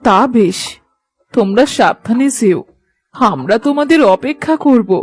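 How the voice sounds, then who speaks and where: A woman voicing a frail, kindly old mother-in-law in a village cartoon, mild and motherly, with a husky voice. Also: on the high side, animated, unhurried, darkish tone, dry recording. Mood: motherly, accepting. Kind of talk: Bengali moral-story cartoon dubbing.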